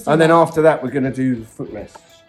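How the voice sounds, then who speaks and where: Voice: medium pitch, brisk. A speaker from Wales with a Welsh accent, casually explaining jobs in a motorcycle workshop with a teasing manner. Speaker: workshop mechanic and co-presenter. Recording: guitar music behind the voice.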